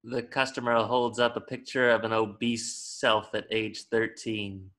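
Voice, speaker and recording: A man's voice: lowish voice, level tone, unhurried, bright, forceful tone. A man reading scripted stage directions as the narrator of a table read.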